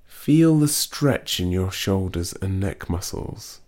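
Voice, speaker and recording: A man reading a guided muscle relaxation script in a slow, soothing, gently instructive way. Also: low-pitched, lively intonation.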